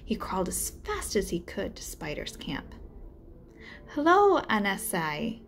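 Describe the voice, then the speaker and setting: Woman reading a storybook with lively intonation. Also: fairly low pitch, normal speed, soft background music. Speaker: learning coach reading aloud. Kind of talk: children's storybook narration.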